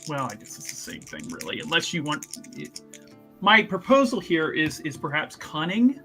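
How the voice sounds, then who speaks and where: A man's voice: on the high side, very animated, moderate pace, bright, forceful tone, faint music behind. A man chatting casually as a tabletop game player, jokey and thinking aloud in stop-start phrases. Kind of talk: casual improvised game chat.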